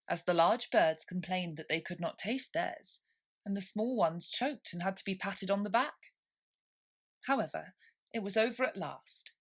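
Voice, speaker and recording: Expressive woman reader, very lively intonation. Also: lowish voice. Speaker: storybook reader. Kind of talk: scripted narration of a children's classic.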